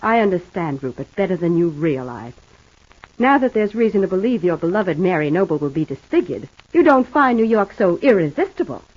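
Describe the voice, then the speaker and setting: An actress playing a proud, bitter, sarcastic housekeeper with an American accent in a 1950s radio soap opera. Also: female voice, on the low side, very animated, fairly quick, a little mellow. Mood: wounded, bitter. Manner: sarcastic, wounded, clipped formality. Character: bitter, proud, sharp-tongued housekeeper of a rich man. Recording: muffled old radio recording.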